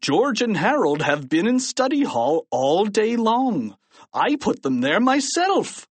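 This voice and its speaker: A narrator voicing a blustering, indignant male school principal in a children's story, loud and drawn-out. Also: medium pitch, huge pitch swings, big-room sound, voice pushed up. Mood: exasperated.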